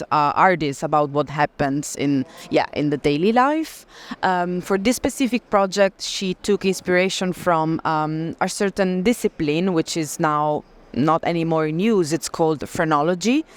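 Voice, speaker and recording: A woman presenting artworks in an explanatory way at an art fair, often halting to search for the right word. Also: low-pitched. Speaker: gallerist presenting an artist.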